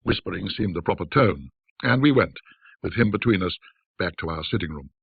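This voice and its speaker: A man narrating a classic ghost story in a measured, dramatic, old-fashioned storytelling manner. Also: on the low side, gravelly, husky, dry recording, phone-quality sound.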